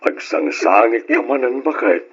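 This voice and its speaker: A man playing a worried, gently consoling husband and father in an old-time Ilocano radio drama, earnest and heavy-hearted. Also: medium pitch, lively intonation, measured pace, breathy edge. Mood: concerned consoling. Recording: muffled old radio recording.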